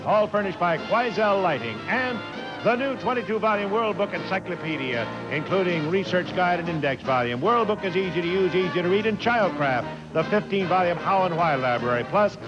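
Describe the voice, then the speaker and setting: A male announcer with a high-pitched voice reads out game show prizes like ad copy. Music plays clearly behind his scripted delivery. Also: lively intonation, brisk, rough recording. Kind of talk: scripted prize-description advertising read.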